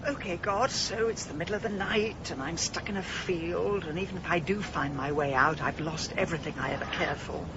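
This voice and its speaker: An actress playing a sharp-tongued, exasperated middle-aged woman in a radio drama, dry, blunt and sardonic. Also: lowish voice, fairly quick, few pauses, dull audio, noisy background, natural voice. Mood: weary, self-pitying.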